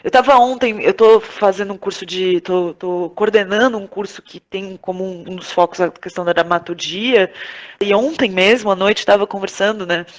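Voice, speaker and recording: A Brazilian woman talks reflectively as an interview guest, in a medium-pitched voice. She searches for her words as she goes, pausing and repeating them for emphasis. The delivery is conversational and thoughtful. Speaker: interview guest, playwright and director.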